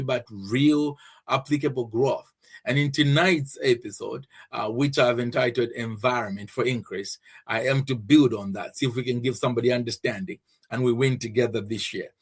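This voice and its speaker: A husky man from Zambia who hosts a faith-based podcast, teaching in an earnest way. His pitch sits in a middle range for a man. He repeats his main points and often restarts sentences with fillers. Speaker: podcast host and preacher.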